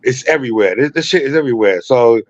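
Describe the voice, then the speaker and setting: A man on an online call, his voice a bit muffled and lo-fi but close and dry, with no room around it. He wanders from point to point, often circling back with "you know what I'm saying." His pitch sits in an ordinary middle range. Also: expressive, fast talker, dry recording. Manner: loose, repeats "you know what I mean". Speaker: guest on a call-in talk show. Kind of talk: rambling casual conversation.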